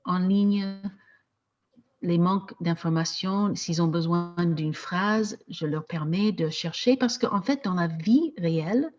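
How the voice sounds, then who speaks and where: Slow hesitant woman teacher, non-native. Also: fairly low pitch, darkish tone, muffled recording. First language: not French. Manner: slow, hesitant, with frequent restarts and laughs. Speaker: French teacher. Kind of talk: casual discussion in a video meeting.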